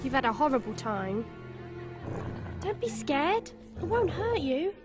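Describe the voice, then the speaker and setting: Plucky British street girl, very high. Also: big pitch swings, a little slow, over music. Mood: gentle, sorry. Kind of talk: video game cutscene dialogue.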